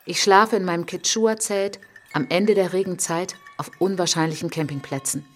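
An actress playing a reflective French woman traveller in a radio play monologue, speaking in a measured, intimate, literary way. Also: lowish voice, steady tone, soft background music, lightly acted. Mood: quiet awe.

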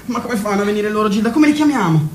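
A performer acts out a restless young man, a dreamy brother in a radio play. He is quick and animated, protesting in exasperated, repetitive bursts. The room is echoey. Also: medium pitch, noisy background, lightly acted. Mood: eager, excited. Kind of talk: Italian radio drama acting.

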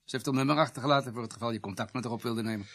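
An actor playing a matter-of-fact police doctor in a radio drama, talking conversationally with a gravelly, husky voice. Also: male voice, mid-range voice, racing, fairly bright tone.

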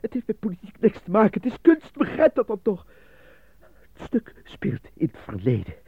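A dry, slightly dull recording of a nervous stage actor in a radio play. His delivery is theatrical and swings widely in pitch. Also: huge pitch swings, normal speed, gravelly, breathy edge, no room sound, exaggerated voice. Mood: agitated, pleading. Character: anxious, apolitical stage actor pleading under interrogation. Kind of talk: Dutch radio drama acting.

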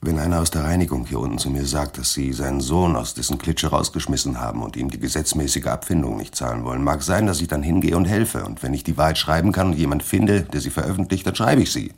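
An actor playing a worried writer who wants to stay alive, protesting emphatically in colloquial speech in a radio drama. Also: male voice, rumbling low, fast talker, gravelly, hardly any pauses, slightly rough, full character voice. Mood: earnest, resigned. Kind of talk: German radio drama acting.

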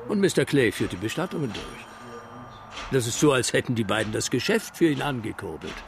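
An actor playing a worldly-wise, matter-of-fact official in a radio crime drama, explaining things in a wry manner. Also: male voice, mid-range voice, very animated, a little fast, gravelly.

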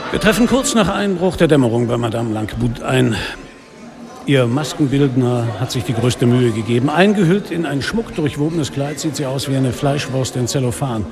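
An actor playing a weary, middle-aged police inspector in a radio drama, speaking and narrating in a dry, caustic manner. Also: male voice, medium pitch, lively intonation, moderate pace, lightly acted. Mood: wry narration. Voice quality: gravelly. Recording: reverberant, loud music behind.

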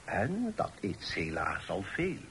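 Wry family patriarch, animated intonation. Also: male voice, sits low, slow pace, full character voice. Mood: genial, decisive. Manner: wry, amused, decisive. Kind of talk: period radio play acting. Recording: faintly noisy old radio tape.

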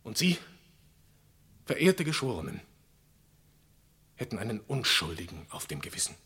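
A man playing an impassioned defence lawyer in a crime radio play, pleading theatrically with rhetorical pathos in a husky voice. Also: lowish voice, huge pitch swings, sharp tone, exaggerated voice. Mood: grave, urgent. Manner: rhetorical, pathos-laden, dramatic pauses. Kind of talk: German crime radio play, courtroom oratory.

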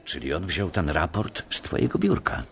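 A man's voice: low-pitched, huge pitch swings, moderate pace, slight background noise, heavily acted. An actor playing a blunt young male analyst colleague in a radio drama, speaking in short, terse lines.

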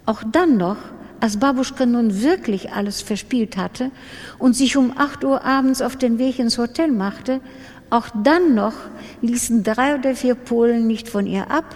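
A woman's voice: a little high, a little slow, darkish tone. A woman with a standard German accent reading literary prose as a radio narrator. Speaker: literary reader in a radio production. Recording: studio reading with long reverb.

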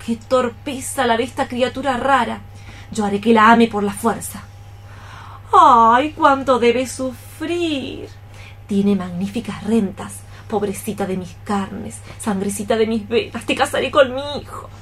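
A woman's voice: on the high side, a bit bright. An actress playing a scolding, scheming old mother cockroach in a theatrical, exclamatory radio play style. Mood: agitated, scheming. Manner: exclamatory, theatrical, drawn-out phrases. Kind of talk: radio theatre acting in archaic poetic Spanish.

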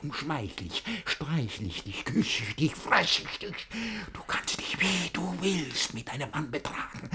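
An actor playing a jealous, lovesick older guardian in a German radio verse comedy, theatrical, blustering and pleading. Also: male voice, mid-range voice, a little hiss or hum. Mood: desperate pleading. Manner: theatrical, swinging from outrage to hushed pleading.

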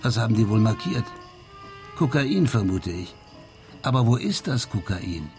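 An actor in a radio crime drama playing an amateur sleuth who reasons aloud in a didactic, questioning way. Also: male voice, medium pitch, very animated, a little slow, music in the background. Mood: explaining.

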